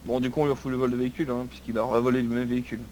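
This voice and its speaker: Fast-talking man, bossy and scolding. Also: medium pitch, little pitch movement, a little mellow, low-quality recording. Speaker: player role-playing a police officer. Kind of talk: improvised online role-play chat. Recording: faint noise on game voice chat.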